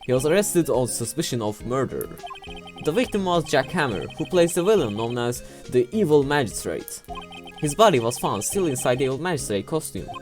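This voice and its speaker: A young woman voicing a formal TV news anchor in a fan game voice-over, in an even, formal delivery. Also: rumbling low, fairly quick, faint music behind.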